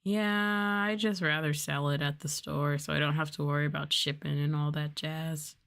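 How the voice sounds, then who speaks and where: Soft, low-pitched woman, ASMR streamer. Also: fairly even intonation, normal speed, vocal fry. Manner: softly spoken, now and then trailing into whispers. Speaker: livestreamer, VTuber host. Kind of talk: soft-spoken ASMR livestream chat.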